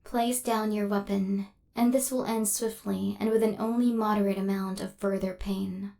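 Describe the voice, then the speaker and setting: A woman's voice: medium pitch, little pitch movement, moderate pace, vocal fry, dark tone, close to an everyday voice. A woman voicing a female robot assassin in an ASMR roleplay, cold and relentless, with clipped, formal, mechanical delivery. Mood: cold, menacing.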